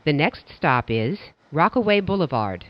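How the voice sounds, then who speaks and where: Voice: mid-range voice, sing-song, moderate pace, lo-fi sound. A subway train announcer reading scripted transit announcements in formal, clipped stock phrases.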